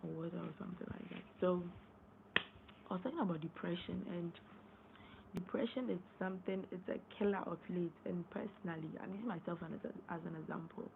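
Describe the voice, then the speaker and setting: Hoarse young woman on a tinny live stream. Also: fairly low pitch, fairly quick. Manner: rambling, repeats herself, clears throat often. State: losing her voice, hoarse. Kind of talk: casual live-stream talk. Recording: tinny phone-line sound, faint noise.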